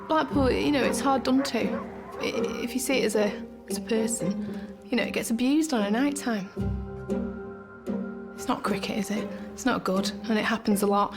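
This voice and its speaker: Policewoman, highish pitch, unscripted documentary chat with music underneath. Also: normal speed. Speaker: police officer.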